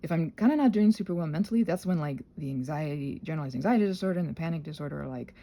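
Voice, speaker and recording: Woman rambling casually, animated pitch, mellow voice. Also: rapid-fire. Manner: scattered, self-deprecating, trails off and digresses. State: tired, congested from a sinus infection, slightly sore throat. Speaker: solo host of a rambling audio channel.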